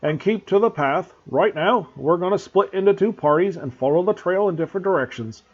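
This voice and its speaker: A man voicing a huge rough-spoken male gamekeeper, loud and growling with rustic, dropped-letter speech in a husky tone, on a dull lo-fi recording. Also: high voice, lively intonation, fairly quick, pitched above the performer's own voice. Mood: earnest.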